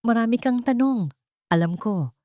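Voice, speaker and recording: A woman's voice: a little high, animated, normal speed, no room sound, studio quality, voice pushed up, natural voice. Woman voicing an earnest, protective older father. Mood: gentle. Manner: earnest, soft-spoken. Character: regretful, protective rich older father, gentle but resolute.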